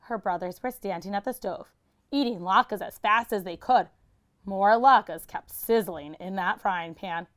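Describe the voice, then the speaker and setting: A woman's voice: medium pitch, a little slow, a bit bright. A woman reading a children's picture book aloud like a teacher, expressively and with dramatic emphasis.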